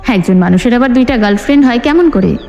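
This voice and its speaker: A woman's voice: a little high, expressive, fast talker, darkish tone. A woman playing a reserved, easily flustered young female student in an audio story. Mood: doubtful, annoyed. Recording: long hall-like reverb.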